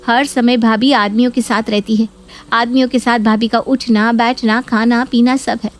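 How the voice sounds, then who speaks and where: Woman voicing a scheming young daughter, accusing, high-pitched, storybook drama. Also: little pitch movement, normal speed, close to an everyday voice. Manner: complaining, accusing tone. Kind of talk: Hindi audio story acting.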